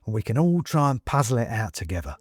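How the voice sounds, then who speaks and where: A man voicing a wary, soothing gentleman who speaks slowly and conspiratorially. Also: mid-range voice, slightly rough, no room sound, studio quality, soft background music. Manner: slow, calming, conspiratorial. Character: soothing, wary middle-aged gentleman householder. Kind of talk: audiobook character reading.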